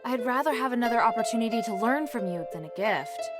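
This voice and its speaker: Game casting: young heroine played by a woman, earnest and direct warrior, fairly high voice. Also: expressive, fast talker, loud music behind the voice, natural voice. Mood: resolute. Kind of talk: video game voice acting.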